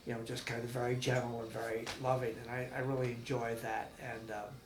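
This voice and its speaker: A man in his sixties from Massachusetts, recalling his life in a halting, rambling oral-history interview at home. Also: medium pitch, flat delivery, echoey room, faint music behind. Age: 60s. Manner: rambling, halting with many ums and restarts. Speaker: veteran interviewee.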